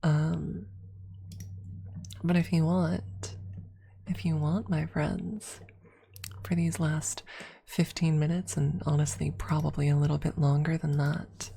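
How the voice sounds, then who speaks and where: A woman with a low, mellow voice speaks close to the mic in a soft ASMR register. Her delivery is slow and gentle, with a breathy texture that often slips toward a whisper. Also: flat delivery. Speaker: streamer. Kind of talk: ASMR, casual chat and soft story reading. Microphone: close-up microphone.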